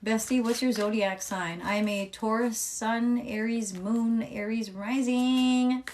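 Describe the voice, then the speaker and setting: A young adult woman giving a casual live tarot reading, with a calm, soothing voice, chatting, swearing freely and reading viewer comments aloud. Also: on the high side, takes it slowly, a little hiss or hum. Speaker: tarot reader live-streaming.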